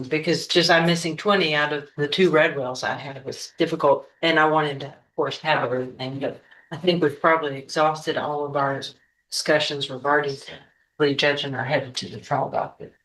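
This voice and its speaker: Guy lawyer in court, bright flat voice, rambles and hedges a lot. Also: a little high, rough recording. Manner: rambling, hedging with fillers. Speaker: attorney. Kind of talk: courtroom statement.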